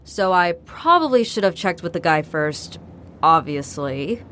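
A woman's voice: lowish voice, lively intonation, unhurried, a bit bright. A young woman playing a cocky local band member in an audio drama, talking in a casual, slangy, glib way. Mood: breezily confident. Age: young adult. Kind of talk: naturalistic audio drama acting.

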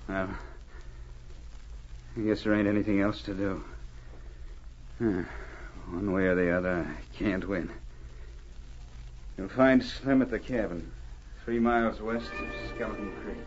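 Actor as a bitter, cornered outlaw, muffled. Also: male voice, fairly low pitch, unhurried, long pauses, lightly acted. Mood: resigned. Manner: rustic, grumbling, agitated. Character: cornered, bitter, frightened gold-rush outlaw. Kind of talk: 1940s radio acting. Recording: noisy, muffled old radio recording.

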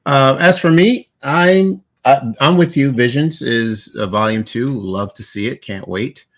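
A man's voice: mid-range voice, measured pace, fairly bright tone. A man hosting a casual podcast, asking rambling, hesitant questions full of fillers. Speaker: podcast host.

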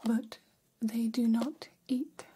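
A woman voicing a gentle, curious female alien in an ASMR roleplay, whispering softly in formal, halting, literal phrases. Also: fairly high pitch, steady tone, slight background noise. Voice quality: soft, breathy whisper. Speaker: ASMR performer.

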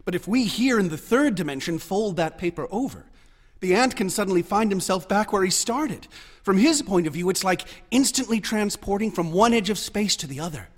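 High-pitched young male math student for radio drama: obsessive, insistent, eager to lecture. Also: expressive, normal speed. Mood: earnest, visionary. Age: young adult.

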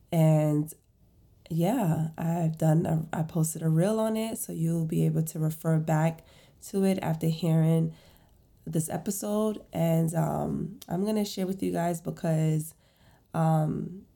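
A woman's voice: low-pitched, vocal fry, dark tone. A woman in her thirties hosting a casual solo podcast, stuffy with a head cold, rambling and correcting herself as she tells a story. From Brooklyn, New York. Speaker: podcast host, esthetician and salon owner.

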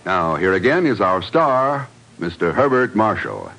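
A man's voice: sits low, big pitch swings, a little slow, creaky, fairly bright tone, faint music behind, rough recording. A man working as a 1950s radio announcer, reading scripted sponsor copy and credits in a polished, emphatic way.

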